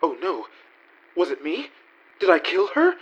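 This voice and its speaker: A man's voice: high voice, very animated, unhurried, breathy edge, highs cut off, exaggerated voice. An actor playing a deluded, bewildered man come home, earnest and melodramatic in a parody radio play. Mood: dramatic, bewildered.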